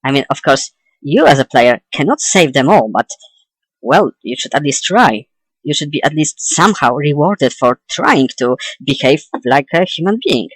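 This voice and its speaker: A young adult man giving an opinionated, informal video essay as a game commentator, halting and correcting himself as he goes. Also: a little high, big pitch swings, slightly rough, dry recording, rough recording. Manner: hesitant, halting delivery with self-corrections.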